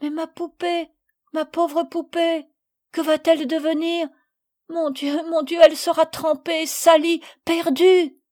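A woman voicing a small girl who sobs and wails in a high, tearful voice in an audiobook reading. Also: fairly even intonation, unhurried, warm tone. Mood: sobbing. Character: small girl, sobbing and desperate.

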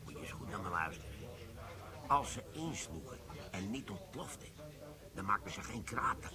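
An actor playing an older army sergeant who defuses bombs, in a radio play, telling war stories and giving brisk orders. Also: male voice, lowish voice, lively intonation, a crowd in the background, lightly acted. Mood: storytelling. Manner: storytelling, then brisk and commanding. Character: gruff older male army bomb sergeant.

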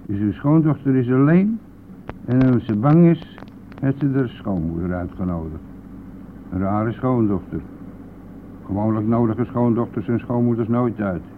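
An actor playing a cheeky, nosy, grumbling village bus driver in a radio play, with a teasing, blustering manner. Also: male voice, medium pitch, moderate pace, long pauses, close to an everyday voice. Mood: prying. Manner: teasing, blustering, chuckling at own jokes. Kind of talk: Dutch radio play acting. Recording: tinny narrow-band old radio recording, vehicle noise.

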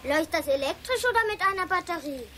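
Naughty boy, very high, chatty, radio-play. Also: animated, fast talker, sharp tone, slight background noise, heavily acted. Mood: curious. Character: mischievous young boy.

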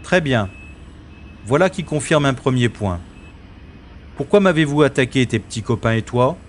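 A man playing a sardonic special agent in a science-fiction audio drama, with a mocking, theatrical and deliberate delivery. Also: mid-range voice, expressive, slight background noise, lightly acted. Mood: mocking, commanding. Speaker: lead actor and narrator of audio drama. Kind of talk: science-fiction audio drama acting with inner-monologue narration.

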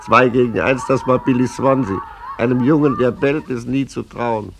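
An actor playing an old blind sea captain in a radio play, giving a chuckling, gossipy running commentary on the street. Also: male voice, mid-range voice, normal speed, gravelly, natural voice.

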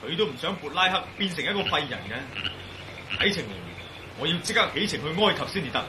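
An actor playing a composed, reassuring and decisive adventurer in a Cantonese radio drama. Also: male voice, a little high, very animated, fairly quick, noisy background. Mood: resolute.

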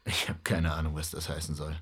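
An actor playing a bitter, sceptical, hurt husband in a radio drama, with sarcastic, pointed delivery. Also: male voice, very deep voice, completely flat delivery, a little fast, fairly bright tone.

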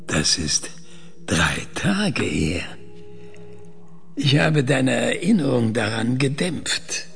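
Male scientist for radio drama: calm, controlling, very husky, slow and deliberate. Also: mid-range voice, soft background music, heavily acted. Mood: calm, probing. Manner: measured, deliberate. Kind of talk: German radio play dialogue acting.

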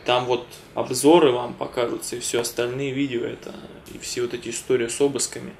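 Male co-host, even monotone, mid-range voice, casual address to viewers. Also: medium pitch, fairly quick, gravelly, a little hiss or hum. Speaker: video co-host.

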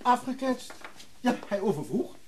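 A man's voice: extremely high pitch, sing-song, moderate pace, a little hiss or hum. Glib, evasive middle-aged man. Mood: chatty. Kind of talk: naturalistic Dutch radio play acting.

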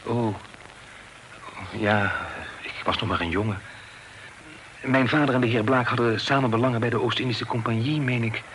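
Through clear background noise on an old radio play recording, a man plays a young gentleman of good manners. He speaks in a medium-pitched voice, hesitant and easily flustered, always courteous. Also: fairly even intonation, moderate pace, long pauses, close to an everyday voice, poor audio. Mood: courteous, recollecting. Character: polite, flustered young gentleman caught in a storm. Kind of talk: Dutch radio play acting.

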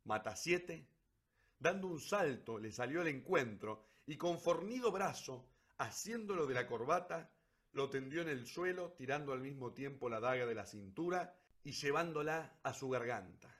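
A man's voice: mid-range voice, expressive, normal speed, a bit bright. A man reading scripted, dramatic narration as the narrator of a radio play.

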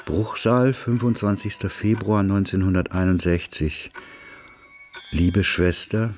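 Very low, slow, devout convict. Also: male voice, fairly even intonation, faint music behind. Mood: solemn, devout. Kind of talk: solemn letter reading in radio play.

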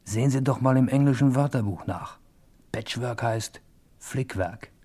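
A man's voice: medium pitch, unhurried, warm tone, lightly acted. An actor playing a sly, chummy male crook who narrates his tale confidentially in a 1950s German radio comedy. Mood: wry, confiding. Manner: confidential, wry, conversational.

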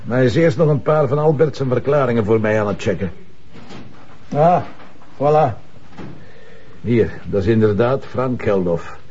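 A male actor as a laid-back Flemish police commissioner in a TV crime drama. He speaks in a dark, relaxed voice with dry humour. The sound is dull, with a faint noise behind it. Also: mid-range voice, normal speed, pauses often, natural voice. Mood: matter-of-fact. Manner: dry, conversational. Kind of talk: naturalistic TV crime drama acting.

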